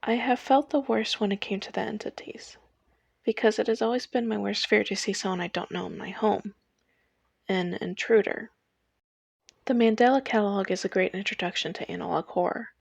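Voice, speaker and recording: Young female video essayist, mid-pitched with noticeable vocal fry, reading a personal script in a dry space. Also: normal speed, no echo.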